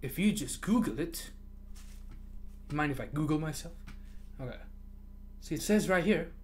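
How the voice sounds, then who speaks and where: A man who did not grow up speaking English chats on his livestream, ranting and laughing as he goes. His pitch swings widely from phrase to phrase, and he swears freely. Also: expressive, normal speed, darkish tone, a little hiss or hum. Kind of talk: casual livestream chat.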